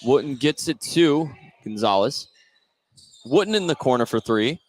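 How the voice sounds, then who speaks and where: A man with a mid-pitched voice calls a live basketball game as the play-by-play announcer. Light gym ambience sits behind his commentary. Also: animated, unhurried, slight background noise, rough recording.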